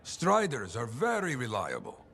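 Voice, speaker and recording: Jovial, gravelly foreign contract fixer. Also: male voice, mid-range voice, a little slow, a little hiss or hum, full character voice. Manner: jovial, expressive, broken English. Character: boisterous foreign fixer handing out mercenary contracts. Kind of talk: video game character acting.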